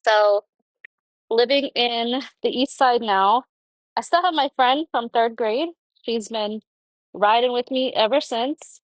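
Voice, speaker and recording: A woman's voice: a little high, dry recording, low-quality recording. Chatty young woman podcaster. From Florida, United States. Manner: rambling and conversational, many fillers. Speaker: podcast host. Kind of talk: casual personal monologue.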